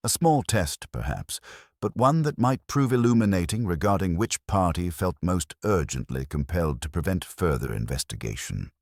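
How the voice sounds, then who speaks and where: A man narrating a mystery audiobook from a script in a measured, formal storytelling manner. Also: low-pitched, sing-song, vocal fry, a little mellow, dry recording, clean studio sound.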